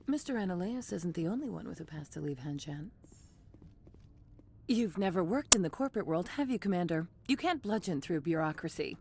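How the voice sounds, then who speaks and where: A woman's voice: fairly low pitch, expressive, fairly quick, a little mellow, slight background noise. An actress playing a polished, businesslike female executive assistant in a video game, formal and composed. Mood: confiding, wry.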